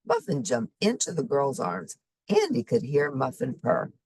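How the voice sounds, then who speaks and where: Low-voiced, very animated woman storyteller. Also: a little slow, slightly rough. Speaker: storybook reader. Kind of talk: expressive children's story narration.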